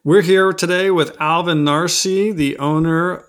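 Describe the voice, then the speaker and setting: A man's voice: a little high, fairly even intonation, unhurried, faint music behind. A middle-aged man hosting a podcast, introducing and interviewing. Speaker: podcast host.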